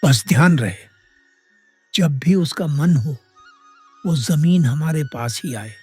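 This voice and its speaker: A man's voice: a little high, expressive, slow pace, warm tone, breathy edge, soft background music, exaggerated voice. A man playing an authoritative, acquisitive village headman who gives orders in a dramatized moral story. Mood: scheming.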